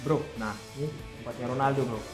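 A man's voice: mid-range voice, fairly even intonation, fast talker. A man chatting casually and in an upbeat, slangy way as a travel vlogger, speaking to viewers like pals into a phone held at arm's length. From Indonesia. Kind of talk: casual vlog chat to viewers. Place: museum, stadium stands and changing room. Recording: loud music over phone vlog audio. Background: loud music.

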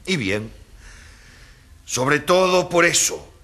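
An actor playing an earnest, emphatic professor who argues didactically in an oratorical radio debate. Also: male voice, on the high side, very animated, slow pace, pushed and bright, heavily acted. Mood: earnest, challenging. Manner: didactic, rhetorical questions. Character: earnest, emphatic male professor arguing for landless peasants.

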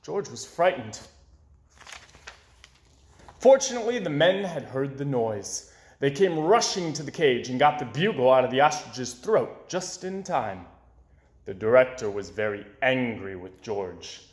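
A man's voice: medium pitch, sing-song, a little slow, darkish tone. A man, reading a children's story aloud with dramatic emphasis. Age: 20s. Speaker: storybook reader. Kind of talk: expressive children's read-aloud narration. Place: small indoor room with bookshelves. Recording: roomy indoor echo.

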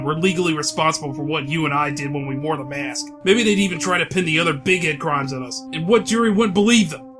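A man's voice: on the high side, lightly acted. A man playing a wisecracking, streetwise young schemer in a comic book audio drama, brash and casual.

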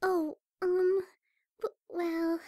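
A woman voicing a shy, gentle young cartoon pegasus pony with a hesitant, stammering delivery. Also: very high voice, level tone, very slow, dry recording, clean studio sound, full character voice. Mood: bashful. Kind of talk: amateur cartoon fan audio drama.

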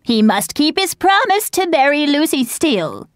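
A woman's voice: high voice, sing-song, normal speed, dry recording, studio quality. A woman voicing an outspoken, gossipy older widow who talks in a blunt, chatty way.

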